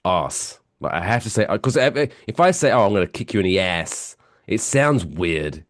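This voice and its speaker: A man with a British accent, softened by years in America, telling stories as a podcast co-host while stuffy with a cold. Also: fairly low pitch, sing-song, moderate pace, dry recording, studio quality. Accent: British English softened by years in the US. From England. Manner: chatty, self-mocking storyteller. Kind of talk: casual podcast chat.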